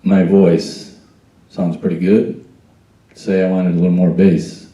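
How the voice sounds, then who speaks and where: Slow-talking man teaching a sound desk. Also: low voice, little pitch movement, dark tone, echoey room, far from the mic, poor audio. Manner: patient, explains step by step, tests the mic with "check, one, two". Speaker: sound board trainer. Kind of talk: hands-on technical instruction. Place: church sound booth, indoors.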